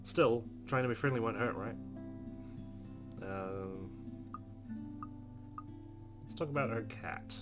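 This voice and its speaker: Lowish-voiced male let's player, expressive reading. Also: slow pace, pauses often. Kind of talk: reading game narration aloud with casual reactions. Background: game music with guitar.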